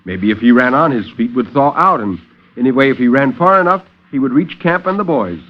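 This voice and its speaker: A man narrating a dramatic horror story in a measured, grave, theatrical 1950s radio style. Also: medium pitch, lively intonation. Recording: dull vintage 1950s radio recording.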